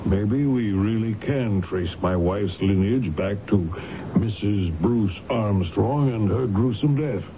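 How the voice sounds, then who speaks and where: Earnest American lawyer, low, tinny sound. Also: male voice. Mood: eager. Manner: polite, measured, probing. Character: earnest, courteous, persistent lawyer husband investigating. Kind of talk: old-time radio drama acting. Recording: noisy, narrow-band old radio recording.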